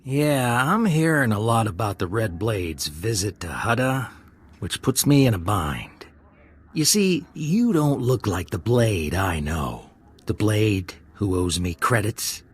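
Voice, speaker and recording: Game role: sleazy smuggler con man. Rough-edged, very animated delivery, chummy and insinuating. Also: lowish voice, slow pace, heavily acted. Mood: smug, needling. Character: sleazy, wheedling male space-smuggler swindler, falsely chummy. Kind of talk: video game voice acting.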